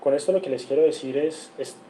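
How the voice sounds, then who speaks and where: Colombian male guest lecturer, deep warm dark tone, earnest, reels off numbers, faint background hiss. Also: a little fast, poor audio. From Cali, Colombia. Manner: earnest, lists figures, frequent self-corrections. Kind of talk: online seminar lecture.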